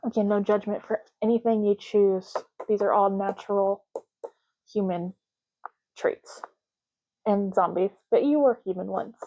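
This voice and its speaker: A soft-spoken woman doing an ASMR roleplay as a clerk, explaining gently and unhurriedly in short, broken phrases. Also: mid-range voice, fairly even intonation, measured pace, pauses often, dry recording, muffled recording. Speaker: ASMR creator playing an ID card clerk.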